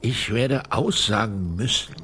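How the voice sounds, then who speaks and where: An actor playing a dutiful gate guard in a radio crime drama, plain-spoken and official, polite but a little defensive. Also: male voice, lowish voice, a little slow, noisy background, natural voice. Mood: defensive recalling. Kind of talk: naturalistic German radio crime drama acting.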